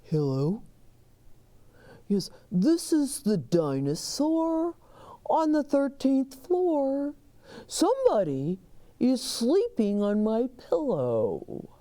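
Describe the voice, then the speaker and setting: A woman voicing a huge male dinosaur in a children's storybook, deliberate and put out as he complains. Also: sits high, very animated, warm tone, voice pushed up, full character voice. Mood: aggrieved. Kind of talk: children's storybook read-aloud.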